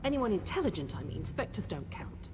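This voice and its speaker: An actress playing a composed, scholarly woman who leads an expedition in a fantasy game, speaking in a measured, articulate and earnest way. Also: medium pitch, very animated, a little mellow, a little hiss or hum, lightly acted. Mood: worried. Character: scholarly female expedition leader, composed and concerned. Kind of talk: scripted fantasy game dialogue.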